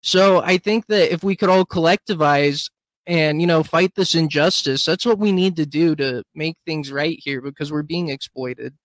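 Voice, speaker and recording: A man's voice: on the high side, moderate pace, dry recording, low-quality recording. A man speaking earnestly in a casual group voice chat, rallying others to organize. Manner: earnest, rallying, calls for a union. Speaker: Reddit moderator in a group voice chat.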